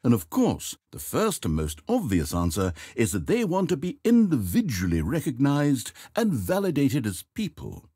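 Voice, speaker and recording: An actor playing a fussy, needy male game narrator, theatrical and quick to turn from coaxing to exasperated. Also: mid-range voice, wildly swooping pitch, mellow, husky, no echo, clean studio sound. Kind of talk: video game voice acting.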